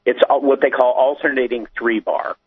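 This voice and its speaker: A man's voice: medium pitch, fairly even intonation, normal speed, breathy edge. A man giving a conversational technical explanation, step by step, as a guest. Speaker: guest explaining digital documents. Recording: muffled, tinny phone line.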